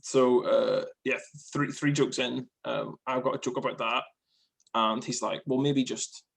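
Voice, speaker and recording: A man's voice: mid-range voice, fairly even intonation, measured pace, gravelly, a bit bright. A man from Northern Ireland, a stand-up comic, chatting casually over a video call, rambling with frequent laughs and repeated words.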